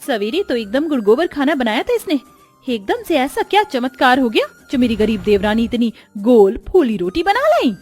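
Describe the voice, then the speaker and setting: A woman's voice: sits high, big pitch swings, normal speed, darkish tone, husky, soft background music, exaggerated voice. A woman voicing a jealous, scheming elder sister-in-law in a cartoon, spiteful and accusing. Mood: resentful.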